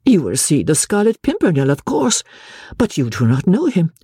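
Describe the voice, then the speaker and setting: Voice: medium pitch, huge pitch swings, moderate pace, warm tone, breathy edge, no echo, clean studio sound, voice pushed up, full character voice. A reader doing a tense young noblewoman. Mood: strained. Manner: pleading, strained. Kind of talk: audiobook dialogue.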